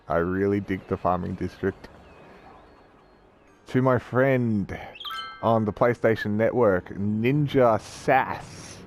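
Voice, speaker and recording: Croaky man. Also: medium pitch, darkish tone. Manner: chatty, rambling, self-correcting. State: croaky from a cold. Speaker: gaming YouTuber. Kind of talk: casual gameplay commentary.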